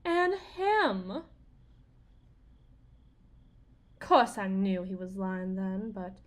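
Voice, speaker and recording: Casting: young wife, Southern US accent, very high voice with sweeping swings, wounded, sardonic, desperate, for a dramatic audio roleplay. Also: female voice. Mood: bitter, confiding. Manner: confiding, theatrical, folksy, wounded and sardonic. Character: bitter young Southern wife and expectant mother, desperate, dreaming of stardom.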